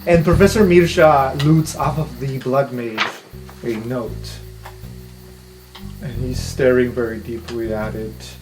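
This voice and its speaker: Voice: mid-range voice, fairly even intonation, slow pace, over music. A game master improvising casual, informal narration for a tabletop roleplay podcast.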